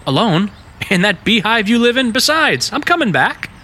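An actor playing an earnest, plainspoken young American detective in love, in vintage radio drama style. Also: male voice, high-pitched, sing-song, moderate pace, bright, forceful tone, slight background noise, exaggerated voice. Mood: tender, earnest.

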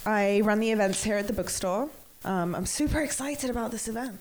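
A woman's voice: mid-range voice, a little fast, darkish tone. An English woman hosting a bookstore event, nasal from a cold, chatty with many ums and restarts. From England. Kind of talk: event introduction.

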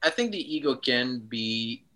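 A man from Switzerland, a guest in a casual interview, speaking reflectively with frequent pauses and ums. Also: mid-range voice, animated, sharp tone.

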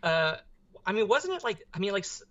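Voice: mid-range voice, big pitch swings, fairly quick, a bit bright, dull audio. A young adult podcast co-host chatting casually about pop culture, rambling and often searching for words.

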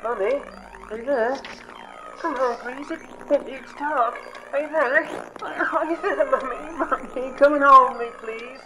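Woman acting a very high, frightened little ghost boy. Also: a little slow, noisy background. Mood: frightened, pleading. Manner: plaintive, stammering. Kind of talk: dramatic audio play acting.

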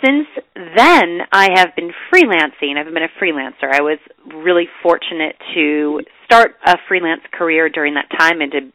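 A woman's voice: sits low, expressive, moderate pace, hardly any pauses, pushed and bright, dry recording, low-quality recording. A woman in her thirties with a stuffy cold, chatting as a guest in a podcast interview. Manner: chatty, laughs lightly. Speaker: guest chef and food writer. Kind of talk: casual interview.

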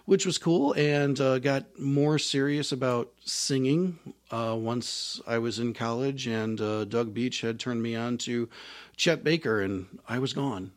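Rambling man telling his story. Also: a little slow. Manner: rambling storytelling with many fillers and restarts; says he has a higher voice. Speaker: musician, trumpeter and singer, interview guest. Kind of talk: casual conversational interview.

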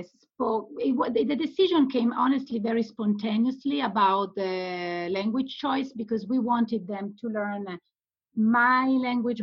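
A woman's voice: mid-range voice, husky, lo-fi sound. A woman with an Italian accent, a guest on an interview podcast, answering questions with frequent fillers and restarts. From Italy. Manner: hesitant, many fillers and restarts.

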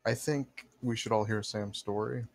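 A young man co-hosting a podcast, teasing his friends in a dry, deadpan way. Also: fairly low pitch, moderate pace. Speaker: podcast co-host. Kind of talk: casual banter among friends.

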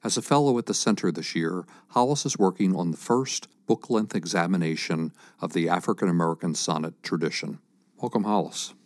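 A man's voice: deep voice, fairly quick. A man hosting an interview, putting prepared introductions and questions to a guest. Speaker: podcast host interviewing a scholar.

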